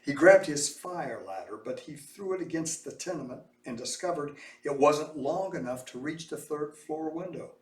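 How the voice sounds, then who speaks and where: A man reading a children's story aloud with animated, emphatic, dramatic delivery. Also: a little high, moderate pace, few pauses. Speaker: storybook reader. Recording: echoey room, distant mic.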